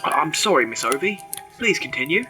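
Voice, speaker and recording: A man's voice: on the high side, very animated, fairly quick, over music. A man playing a polite, worried visitor with stilted formal diction in a ghost story audio drama. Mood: concerned, hesitant. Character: concerned, polite man visiting a dying old woman.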